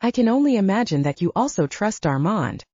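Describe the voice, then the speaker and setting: A woman reading the part of a calm, measured middle-aged man, a father and leader, in an audiobook, gently serious in manner. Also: medium pitch, dry recording. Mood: thoughtful. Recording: slightly dull lo-fi audio.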